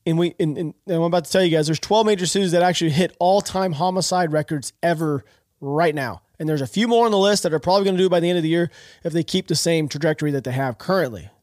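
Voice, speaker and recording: A man's voice: on the high side, fast, a little mellow, no room sound, studio quality. A man hosting a podcast, sounding nasal and stuffed up from allergies, talking through the news in a rambling, opinionated way with many restarts. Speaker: podcast host. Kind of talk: casual political commentary.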